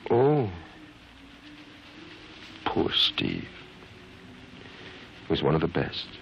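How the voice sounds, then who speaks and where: Low, gravelly radio-drama army doctor. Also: male voice, wildly swooping pitch, drawn-out words, fairly bright tone, heavily acted. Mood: shaken. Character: calm, paternal military medical officer, quietly curious. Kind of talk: 1950s radio drama acting. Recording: muffled old radio recording, faint noise.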